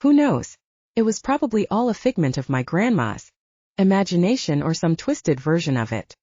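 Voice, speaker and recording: A candid, self-mocking young female werewolf soldier, acted out by a woman audiobook reader. Her chatty delivery swings widely in pitch, with a mellow tone on a slightly muffled, dry recording. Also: sing-song, moderate pace, slightly rough, no echo. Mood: candid, wry. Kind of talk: audiobook character dialogue. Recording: dull, lo-fi sound.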